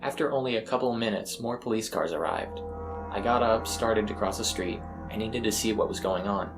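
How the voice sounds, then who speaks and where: A man's voice: on the low side, fairly quick. A man narrating a scripted first-person horror story as its storyteller. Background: eerie ambient music.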